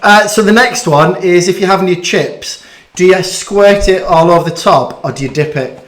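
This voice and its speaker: Echoey, higher-voiced chatty man interviewing. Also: moderate pace. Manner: chatty, self-deprecating, stumbling over his words. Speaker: interviewer hosting a school bedtime story chat. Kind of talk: casual online question-and-answer chat. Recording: echoey room sound.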